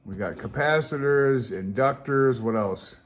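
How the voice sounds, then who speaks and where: A man's voice: mid-range voice, measured pace. A man hosting a casual hobbyist vlog, explaining things off the cuff in a rambling, folksy, self-deprecating and unsure way. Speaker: amateur radio hobbyist video host.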